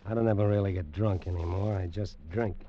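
An actor playing a sullen, sardonic, hard-drinking young nephew in a mid-century radio drama. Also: male voice, low voice, steady tone, brisk. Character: bitter, broke, hard-drinking nephew, weary and cynical man. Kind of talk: 1940s radio drama acting. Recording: muffled old radio recording.

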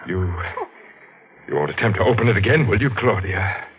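A man playing a sinister, moody self-proclaimed prophet in a 1940s radio drama, speaking ominously and deliberately. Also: low voice, animated, heavy background noise. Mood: menacing. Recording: dull vintage radio recording.

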